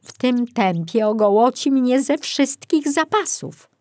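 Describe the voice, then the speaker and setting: A woman's voice: a little high, lively intonation, unhurried, a little mellow, pitched above the performer's own voice. A woman voicing a mild, harried male forester in a children's storybook, with a weary, uncertain manner. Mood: worried. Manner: sighing, muttering, uncertain.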